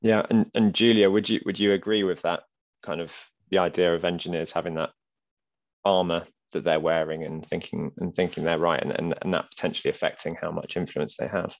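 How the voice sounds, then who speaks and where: Young guy moderating a video call panel, really deep voice, hesitant, lots of ums, dull lo-fi audio. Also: level tone, a little fast, vocal fry. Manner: hesitant, many restarts and fillers. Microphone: headset on a video call.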